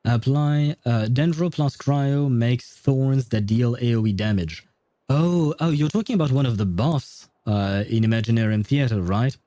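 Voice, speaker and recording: A man's voice: medium pitch, normal speed, warm tone, no echo. A man rambling casually on a game livestream, thinking aloud as he answers his viewers.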